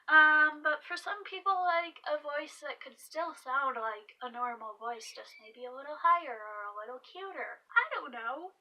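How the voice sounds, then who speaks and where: A woman vlogger giving casual advice, speaking haltingly with frequent fillers and restarts. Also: high voice, bright, forceful tone, distant mic, low-quality recording. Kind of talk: casual advice talk to camera.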